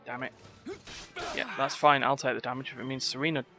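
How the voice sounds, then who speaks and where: A man's voice: mid-range voice, flat delivery, brisk. A man giving casual live gameplay commentary as a streamer, with offhand, wry asides. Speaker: game streamer. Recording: faint game music behind.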